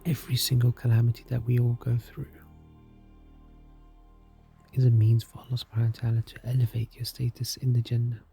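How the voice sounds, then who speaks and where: A man leads a guided meditation in a soft, soothing, scripted narration, calm and gentle, leaving long unhurried pauses. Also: medium pitch, creaky. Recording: music behind the voice. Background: soft music.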